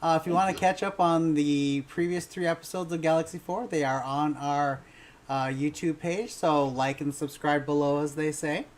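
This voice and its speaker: A woman's voice: low-pitched, fairly even intonation, normal speed, darkish tone. A woman casually hosting a fan panel on a livestream.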